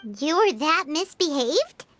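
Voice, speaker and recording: A woman voicing a tiny, childlike, curious fairy companion with a squeaky, cutesy, exclamatory delivery in an anime video game. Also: very animated, slow pace, a bit bright, slight background noise, heavily acted. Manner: exclamatory, refers to herself in third person.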